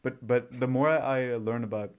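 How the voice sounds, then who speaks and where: A man from Sweden hosting a casual interview over a remote call, talking in a relaxed, rambling way with frequent restarts. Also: mid-range voice, moderate pace, clear, resonant tone, lo-fi sound. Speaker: podcast host. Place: home.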